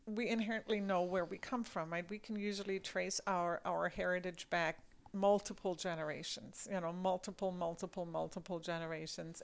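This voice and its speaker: A woman from Germany, a native German speaker, talking lively in a discussion and often repeating words as she thinks. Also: lowish voice, vocal fry, clean tone. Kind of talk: conversational discussion.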